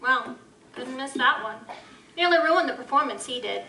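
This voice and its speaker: A teasing, streetwise working woman with a high, bright voice and a knowing edge. She sounds far from the mic in an echoey live hall, with faint room noise. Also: animated, moderate pace, heavily acted. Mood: sly. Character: brassy streetwise woman of the night. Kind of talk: live audio drama acting. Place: live convention stage.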